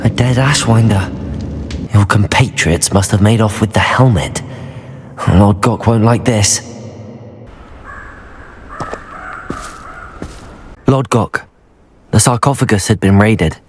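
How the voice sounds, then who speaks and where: A man playing a polite, steady young student hero in a fantasy game. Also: lowish voice, a little slow, a little hiss or hum, close to an everyday voice. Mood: concerned. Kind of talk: video game cutscene dialogue.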